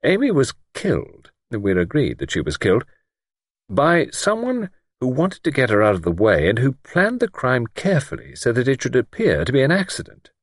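A wry gentleman sleuth, as a male narrator plays him, with highly animated intonation and a slightly husky edge. He is patient but persistent, probing directly as in a detective storybook. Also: moderate pace, dry recording, clean studio sound. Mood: decisive, probing. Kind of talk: audiobook character dialogue.

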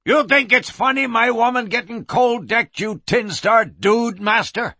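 A man's voice: extremely high pitch, a little slow, pushed and bright, no room sound, pitched above the performer's own voice, exaggerated voice. Man acting a bawling, mean runty miner. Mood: outraged. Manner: bawling, belligerent. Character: runty, mean, brawling male Old West miner. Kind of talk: western audiobook character voice.

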